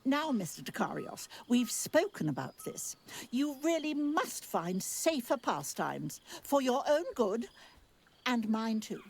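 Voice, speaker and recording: An actress voicing Tara, a prim and fussy magical cat companion who chides in a proper tone. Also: female voice, on the high side, big pitch swings, unhurried, gravelly, a little mellow, breathy edge, full character voice. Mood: chiding. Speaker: game character.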